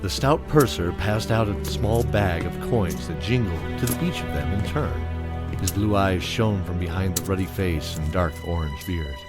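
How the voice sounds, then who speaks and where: A man's voice: low-pitched, moderate pace, loud music behind the voice. A man reading scripted, dramatic narration as the narrator and announcer of an audio drama.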